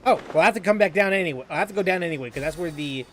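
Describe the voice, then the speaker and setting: A man's voice: high-pitched, rapid-fire, a little hiss or hum. A man giving casual gameplay commentary, thinking aloud and often repeating himself. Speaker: game streamer.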